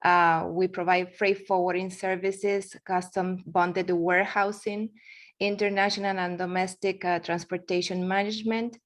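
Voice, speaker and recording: A woman in her forties from Argentina, speaking English with an Argentine accent, giving a presentation with frequent fillers and restarts. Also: lowish voice. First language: Spanish. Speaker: guest speaker. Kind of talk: webinar presentation.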